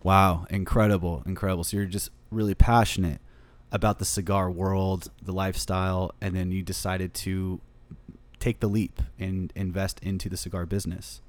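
A man hosting an interview in a cigar lounge, enthusiastic and quick to praise. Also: sits low, little pitch movement. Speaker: podcast host.

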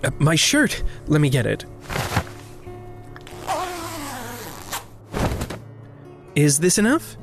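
A man's voice: on the high side, huge pitch swings, moderate pace, creaky, music in the background, exaggerated voice. A man playing a polite, earnest, hesitant young man in a naturalistic audio drama.

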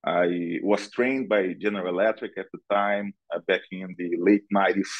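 The deep-voiced Brazilian man you'd hear as a remote guest on an industry podcast, picking his words haltingly over a slightly dull connection. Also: fairly even intonation. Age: middle-aged. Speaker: podcast guest, analytics director. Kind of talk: conversational interview answers.

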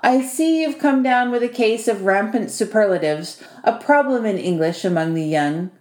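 A short, bossy male house spirit from a storybook, performed by a woman. He is wry and teasing, with a foreign turn of phrase. The tone is mellow, and the room around it echoes. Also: medium pitch, expressive, normal speed, close to an everyday voice. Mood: wry, businesslike. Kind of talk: audiobook character dialogue.

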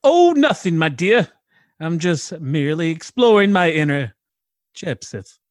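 A man playing a country husband turned pompous, genteel gentleman, speaking flowery lines in a broken British accent for a comedy story. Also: fairly high pitch, sing-song, a little slow, no room sound, clean studio sound. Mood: genteel, soothing. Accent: put-on broken British.

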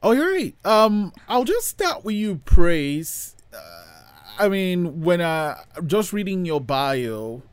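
Male podcast host, smooth clear voice, fairly high, dry sound, hesitant with fillers. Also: big pitch swings, slow, no echo. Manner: hesitant, with frequent fillers and restarts. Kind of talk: hosting an interview podcast.

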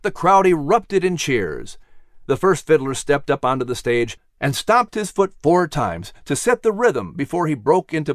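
Guy reading a storybook really dramatically, highish voice, super animated, dry sound. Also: no room sound. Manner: dramatic, expressive delivery. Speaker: audiobook narrator. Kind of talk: animated scripted storybook narration.

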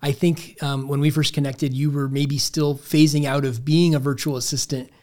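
A woman's voice: deep voice, little pitch movement, moderate pace, darkish tone. A woman hosting an interview show, asking questions in a conversational style.

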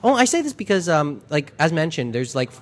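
A man's voice: fairly high pitch, very animated, fairly quick. A man interviewing a convention organizer in casual chat, hesitant with many restarts, his voice worn and fading. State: losing his voice.

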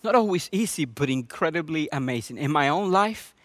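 Earnest pastor, second-language English, dry. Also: male voice, on the high side, lively intonation, fairly quick, a bit bright, no echo. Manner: earnest, with frequent restarts and repetitions. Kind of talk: sermon with personal testimony.

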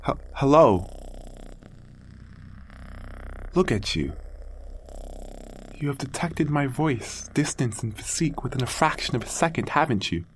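A man's voice: mid-range voice, animated, moderate pace, a little hiss or hum, close to an everyday voice. A man playing an aging artificial intelligence, a doting creator-parent musing with theatrical wonder in an audio drama monologue. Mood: tender wonder. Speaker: narrator and lead character. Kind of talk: dramatic first-person audio fiction monologue.

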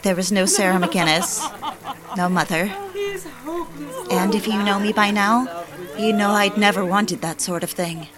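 A woman's voice: medium pitch, steady tone, unhurried, long pauses, lightly acted. An actress playing a wistful, obsessive young newlywed who confides her story in genteel, literary dramatic narration. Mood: wistful, confiding. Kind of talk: first-person dramatic narration for an audio drama. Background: background music.

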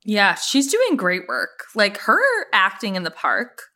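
A woman's voice: medium pitch, wildly swooping pitch, measured pace, pushed and bright. A woman co-hosting a TV recap podcast, chatting informally and with gushing enthusiasm. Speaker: podcast co-host. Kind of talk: casual TV recap chat.

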